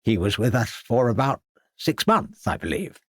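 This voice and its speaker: A man's voice: mid-range voice, sing-song, moderate pace, fairly bright tone, no room sound, clean studio sound. A man voicing a fussy, flustered elderly headmaster who stammers and speaks pompously.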